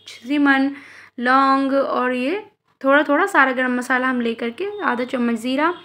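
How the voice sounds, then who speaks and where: A woman's voice: high voice, fairly bright tone. A woman hosting a home cooking channel, talking viewers through a recipe step by step and speaking to them directly. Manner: explains each step, addresses viewers directly.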